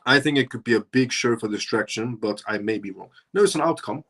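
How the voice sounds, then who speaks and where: A man's voice: mid-range voice, a little fast, a bit bright. A man originally from Iran, hosting a live show and talking through his points in a rambling way that circles back on itself. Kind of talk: casual livestream commentary.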